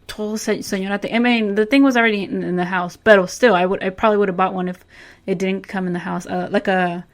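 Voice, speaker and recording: Brief: forties woman, rambling talker, mid-pitched, trails off and hunts for words. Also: steady tone, fast. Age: 40s. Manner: rambling, speeds up in bursts, searches for words. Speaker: podcast co-host. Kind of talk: casual chat.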